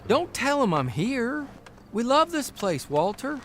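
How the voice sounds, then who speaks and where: An actor playing a fussy, grumbling newspaper columnist of the 1930s who rambles in exasperation, in period audio drama style. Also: male voice, extremely high pitch, very animated, a little slow, a bit bright, a little hiss or hum, full character voice. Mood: pleading, aggrieved.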